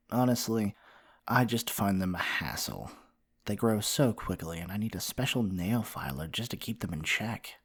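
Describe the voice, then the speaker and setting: A man playing a flirty, slightly possessive dragon-blooded librarian in an ASMR roleplay, speaking softly and teasingly to the listener. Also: on the low side, husky, exaggerated voice. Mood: musing. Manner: coy, teasing, playfully insistent. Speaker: roleplay performer.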